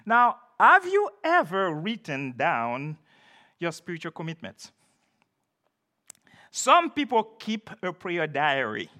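An older man whose first language is French, delivering a sermon. He speaks slowly and deliberately, his fairly high voice rising and falling expressively as he leans on key words. The tone is bright and forward. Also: wildly swooping pitch. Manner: deliberate, emphatic preaching with occasional self-deprecating laughs. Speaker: pastor.

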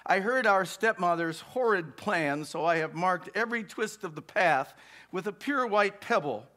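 A man's voice: high-pitched, expressive, normal speed, pushed and bright. A man voicing a cheerful, reassuring little boy from a fairy tale as he reads aloud.

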